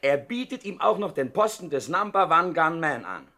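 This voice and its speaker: A cocky old-hand safecracker with a sharp, bright voice that swoops up and down. He scoffs and brags like a gangster in a vintage German radio comedy. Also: a little high, big pitch swings, normal speed, full character voice. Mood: aggrieved. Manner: scoffing, swaggering. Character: boastful, sneering veteran male safecracker gangster.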